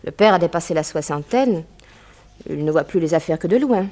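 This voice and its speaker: A woman's voice: lowish voice, expressive, brisk, dark tone, close to an everyday voice. An actress playing a poised, well-bred young woman, determined and vindictive, speaking articulately in a 1960s French radio drama. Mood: assured. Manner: articulate, decided.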